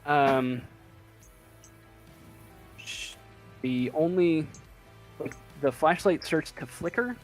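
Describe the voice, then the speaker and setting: A man with a slightly high voice narrates a tabletop game as game master. He improvises haltingly, searching for words, with faint music behind him.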